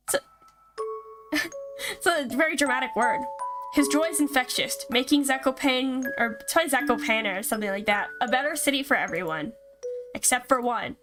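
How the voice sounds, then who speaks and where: High-pitched woman streaming a game. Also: sharp tone. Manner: reacts aloud, swears casually. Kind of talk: reading visual novel text aloud with casual live commentary. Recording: music behind the voice. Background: game music.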